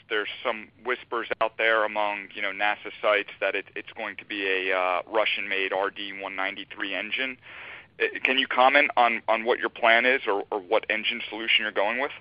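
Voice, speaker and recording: A man's voice: on the low side, dry recording. A man asking questions as a financial analyst, casual and rambling, speaking conversationally over a phone line. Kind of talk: informal, conversational questioning on an earnings-style call. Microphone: telephone. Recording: dull, dry phone line.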